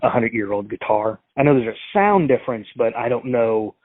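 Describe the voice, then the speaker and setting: A man's voice: mid-range voice, moderate pace. Male prank caller; rambling, restart-heavy delivery; phone-line audio. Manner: rambling, full of fillers and restarts. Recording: tinny dry phone line.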